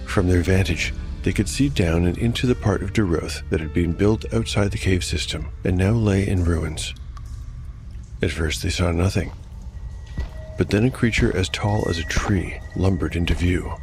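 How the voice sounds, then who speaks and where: A man narrating scripted dark fantasy as game master, in a measured, solemn and carefully enunciated manner. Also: sits low, faint music behind.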